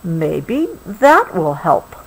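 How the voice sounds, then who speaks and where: A woman reads a picture book aloud to a child, gently adding explanations as she goes. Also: on the low side, big pitch swings, drawn-out words, slight background noise, low-quality recording. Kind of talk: read-aloud storybook with explanatory asides.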